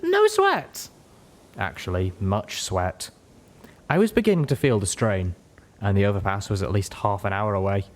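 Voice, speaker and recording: A man's voice: lowish voice, expressive, normal speed, a little mellow. A man reading a scripted first-person adventure story as an audiobook narrator. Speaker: audiobook reader.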